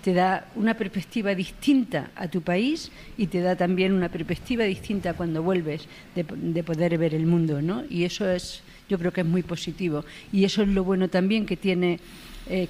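Low-voiced woman reflecting thoughtfully. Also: a little mellow. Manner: reflective, repeats with tag questions. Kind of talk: casual conversation.

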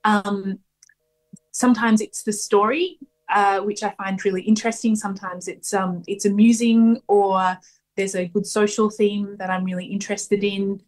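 A woman folk singer answering interview questions thoughtfully, pausing often with fillers. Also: medium pitch, flat delivery. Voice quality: strong voice. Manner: thoughtful, hesitant with many ums. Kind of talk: radio interview, casual answers.

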